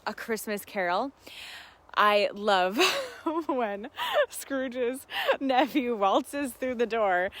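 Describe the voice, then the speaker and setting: A woman hosting a casual livestream, talking in an earnest, heartfelt and effusive way. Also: a little high. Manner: effusive, often laughing while talking. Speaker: host of a live Q&A.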